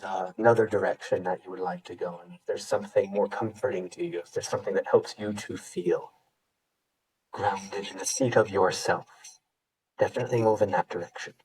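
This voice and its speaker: A man with a fairly low, rough-edged voice guides a meditation as a yoga teacher. He gives gentle instructions and leaves pauses between them. He sounds well back from the microphone. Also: fairly even intonation, distant mic, poor audio.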